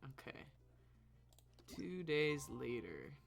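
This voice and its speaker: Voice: mid-range voice, very animated, extremely slow, vocal fry. A streamer giving informal, off-the-cuff commentary while playing a video game. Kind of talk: casual game livestream commentary.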